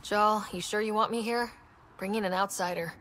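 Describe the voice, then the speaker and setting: A woman playing a steady, caring young team leader in a video game, speaking earnestly and firmly. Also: mid-range voice, normal speed, close to an everyday voice. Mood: businesslike.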